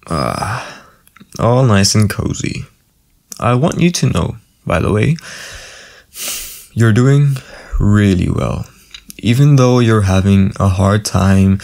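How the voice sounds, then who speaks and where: A man playing a friendly, gentle sleep paralysis demon in a casual, soothing, reassuring ASMR boyfriend roleplay. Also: lowish voice, gravelly, exaggerated voice. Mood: playful, cozy, then comforting, encouraging.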